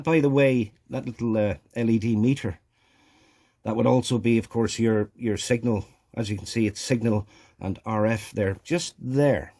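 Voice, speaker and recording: A man presenting a hobby video in a casual, hands-on way, explaining as he goes and often thinking aloud haltingly. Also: mid-range voice, darkish tone.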